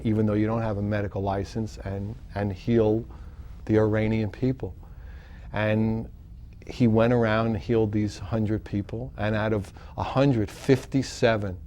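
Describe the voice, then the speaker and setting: Brief: male host, low and flat, plain even intro. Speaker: show host. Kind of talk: introducing a guest.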